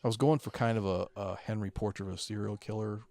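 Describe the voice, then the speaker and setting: A man's voice: lowish voice, steady tone, quick pace, vocal fry, dark tone, dry recording. A man talking casually as a podcast co-host, informal and given to swearing. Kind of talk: casual chat.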